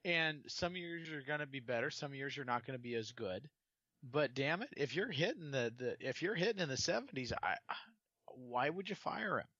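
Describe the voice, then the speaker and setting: A man's voice: mid-range voice, expressive, normal speed, a bit bright, no echo, dull audio. Rambling, exasperated man on a podcast. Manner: rambling, exasperated, repeats words. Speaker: podcast co-host. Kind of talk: casual sports chat.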